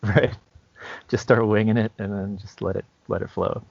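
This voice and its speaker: Low-voiced man explaining casually, dry sound. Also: fairly even intonation, normal speed, gravelly, darkish tone, no room sound. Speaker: podcast co-host. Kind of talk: casual podcast chat. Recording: dry, dull lo-fi recording.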